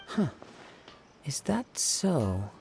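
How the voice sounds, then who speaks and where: A man playing a calm, modest young wandering samurai in a video game, speaking in a composed, polite and thoughtful way. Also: a little high, very slow, gravelly, slight background noise, exaggerated voice. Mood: pensive. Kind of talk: scripted video game voice acting. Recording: music behind the voice.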